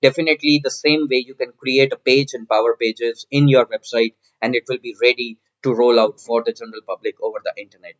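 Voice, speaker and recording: A man narrating a software walkthrough as a tutorial presenter, explaining step by step and often repeating phrases. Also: mid-range voice, steady tone, a little fast, few pauses. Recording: dull, lo-fi microphone sound.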